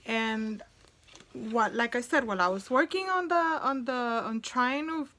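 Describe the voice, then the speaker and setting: Slow, rambling woman in her forties. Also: fairly high pitch, fairly bright tone, a little hiss or hum. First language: Spanish. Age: 40s. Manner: rambling, chatty, many restarts. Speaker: sewing vlogger. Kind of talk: casual vlog chat.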